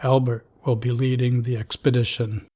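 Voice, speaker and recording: A man voicing a middle-aged vault overseer in an audio drama, dry and dismissive, clinging to the rules. His voice sits at an ordinary pitch, and he hands down orders in a flat, bureaucratic manner. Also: moderate pace, slightly rough, close to an everyday voice. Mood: stern. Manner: dry, rule-bound, dismissive.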